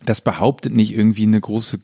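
A man's voice: on the low side, fairly even intonation, warm tone, slightly rough, no echo, clean studio sound. A man talking casually as a musician guest in an interview, thinking aloud and often stopping to search for words. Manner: reflective, halting, searching for words. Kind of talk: casual conversational interview talk.

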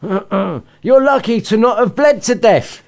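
A man's voice: high voice, expressive, slow pace, gravelly, darkish tone, pitched above the performer's own voice, full character voice. A man voicing an irritated mother who lectures her daughter. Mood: scolding. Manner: lecturing, indignant. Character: stern, scolding mother of a girl.

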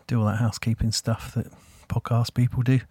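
A man hosting a podcast, talking in a relaxed, rambling way with many fillers. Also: mid-range voice, brisk, breathy edge, no echo, clean studio sound. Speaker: podcast host. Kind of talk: casual interview chat.

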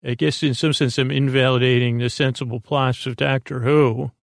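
A man hosting a bedtime podcast in a creaky voice, rambling in a meandering, hesitant way with frequent stammers. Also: medium pitch, steady tone, moderate pace, no room sound, studio quality. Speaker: podcast host.

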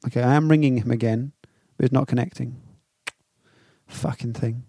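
A man's voice: mid-range voice, steady tone, normal speed, mellow, husky, dry recording. A man with an English accent co-hosting a football podcast in casual, joking chat, with a dry, teasing, sweary manner.

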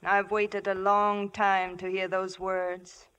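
An actress playing a seductive, vengeful royal mistress in a poised, scornful manner, in 1950s radio drama. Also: female voice, mid-range voice, level tone, takes it slowly, a bit bright, clear, resonant tone.

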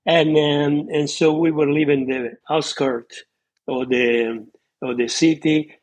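A man's voice: a little high, flat delivery, slow. A man in his eighties with an Italian accent, a retired surgeon speaking as a podcast guest, telling his life story earnestly and often restarting as he searches for words. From Italy. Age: 80s. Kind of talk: reminiscing interview storytelling.

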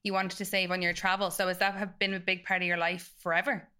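A woman's voice: lowish voice, flat delivery, fast, bright, forceful tone, smooth. A woman co-hosting a podcast in a studio, asking long, loosely phrased questions. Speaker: podcast co-host. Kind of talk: casual interview chat.

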